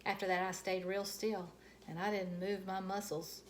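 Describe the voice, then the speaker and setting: A woman reads a children's story aloud in an animated storyteller style. Her voice sits at a middle pitch, and the home recording carries faint background noise. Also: moderate pace. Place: quiet house.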